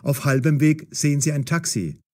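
A man narrating a scripted audio story for language learners in a deliberate, storytelling way. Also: fairly high pitch, animated, darkish tone.

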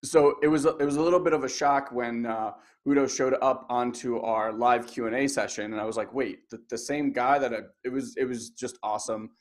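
Young dude hosting a webinar, hyped, lots of "like", webcam sound. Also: male voice, mid-range voice. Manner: excited, says "like" a lot, talks fast when keen. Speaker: host.

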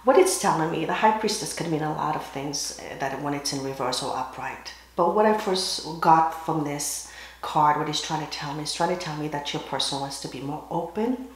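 A woman giving a tarot card reading while sick and losing her voice, pausing to clear her throat and cough. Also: sits low. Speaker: tarot reader. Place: indoors at a table with candles and crystals. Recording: very echoey room.

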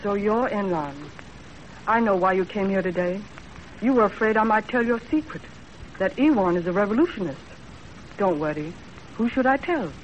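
A woman's voice: medium pitch, expressive, normal speed, long pauses, lightly acted. A woman playing a self-possessed, sceptical young servant in a composed, plain-spoken 1930s radio acting style. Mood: polite, composed. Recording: muffled, faintly noisy 1930s radio broadcast.

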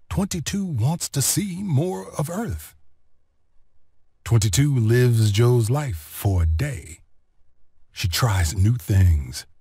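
A man narrating a children's read-along story in an expressive, deliberate storytelling manner. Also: mid-range voice, no room sound, studio quality. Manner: expressive, deliberate storytelling delivery with clear phrasing.

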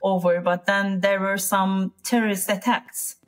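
Slow-talking woman with a Turkish accent. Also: medium pitch, level tone. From Turkey. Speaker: interview guest, piano teacher. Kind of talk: casual interview talk.

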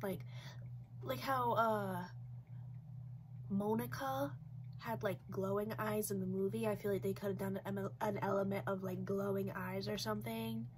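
A woman's voice: mid-range voice, steady tone, a little slow, a little hiss or hum. A young woman in her twenties with a stuffy, congested voice from a cold, chatting casually and rambling with lots of fillers while reviewing dolls in her bedroom. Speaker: doll collector vlogger. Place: small decorated bedroom, indoors.

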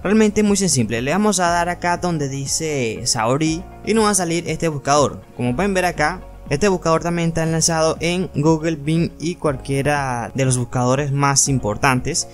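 A man's voice: on the high side, moderate pace, hardly any pauses. A man with a Venezuelan accent narrating an informal screen tutorial, explaining step by step and addressing viewers as friends. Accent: Venezuelan Spanish. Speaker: tutorial channel host. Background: background music.

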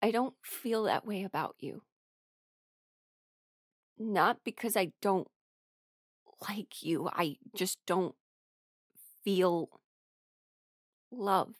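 A woman's voice: mid-range voice, slow pace, pauses often, no room sound. Exasperated, defensive young-sounding musician played by a woman, dry close sound. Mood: pained, resigned. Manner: emotional, exasperated, swearing. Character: defensive aromantic woman musician rejecting her bandmate's love. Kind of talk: audio roleplay drama monologue.

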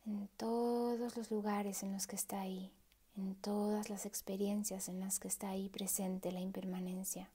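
A woman leading a guided meditation in a soft, hushed, breathy voice with pauses. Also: mid-range voice, little pitch movement.

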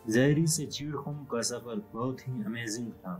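A man narrating a travel vlog as a calm, scripted voice-over. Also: mid-range voice, a little slow, poor audio. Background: soft background music.

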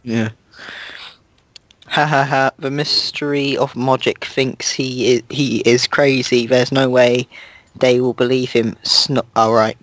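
Young man, mock-dramatic reader, unhurried deadpan delivery with sarcastic asides, dry close sound. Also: mid-range voice, no echo. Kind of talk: mocking dramatic reading.

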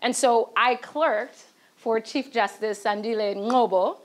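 A woman's voice: on the high side, slow. A woman law professor on a panel, speaking to an audience in a lecture hall with many fillers and "right?" tags, picked up by a far camera.

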